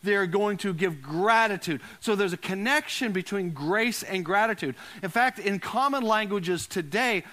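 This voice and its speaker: A man's voice: sits high, bright, forceful tone. A man preaching a conversational sermon as a pastor, repeating phrases for emphasis and putting questions to his listeners.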